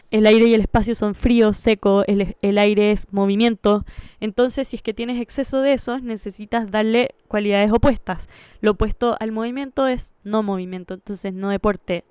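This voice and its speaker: A woman's voice: on the high side, darkish tone, clear, resonant tone, no echo. A woman with a Chilean Spanish accent telling her personal story in a reflective, candid way, like a vlogger.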